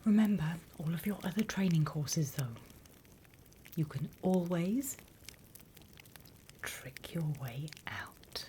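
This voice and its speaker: British woman, ASMR roleplay instructor, breathy whisper, unhurried pace, gentle delivery, noticeable hiss. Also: on the low side, lively intonation. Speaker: ASMR roleplay performer playing a demons' class instructor. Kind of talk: ASMR roleplay, whispered and soft-spoken lesson.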